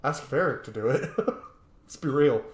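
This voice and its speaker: A man's voice: medium pitch, animated, measured pace, roomy sound. A man giving casual, joking commentary as he plays through a game, chatting informally. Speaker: game player, streamer.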